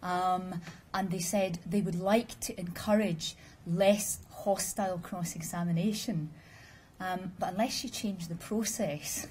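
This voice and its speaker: A woman speaks to a room of listeners in a measured, explanatory way, with a dry sense of humor. Her voice is husky and mellow, warm rather than bright. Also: medium pitch. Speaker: conference speaker. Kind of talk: formal presentation with audience questions.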